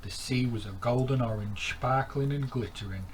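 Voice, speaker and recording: A man reading a children's bedtime story aloud in an expressive, theatrical narrating style. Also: mid-range voice, moderate pace, husky.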